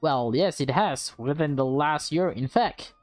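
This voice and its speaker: A woman's voice: low voice, animated, a little slow, darkish tone, dry recording, faint music behind. A woman voicing a courteous, eager female real estate agent in a visual novel, with polite sales patter. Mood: patient explaining.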